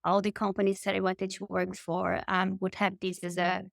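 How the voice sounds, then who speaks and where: A woman's voice: medium pitch, completely flat delivery, normal speed, creaky, dry recording, clean studio sound. A woman in her thirties from Brazil with a Brazilian Portuguese accent, a guest telling her story in an interview with enthusiasm and frequent restarts. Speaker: guest, marketing consultant.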